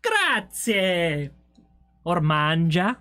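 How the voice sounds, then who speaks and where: A man's voice: high-pitched, huge pitch swings, drawn-out words, sharp tone, no room sound. A man from Canada streaming a game, chatting casually, joking and laughing often. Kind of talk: casual gameplay chat.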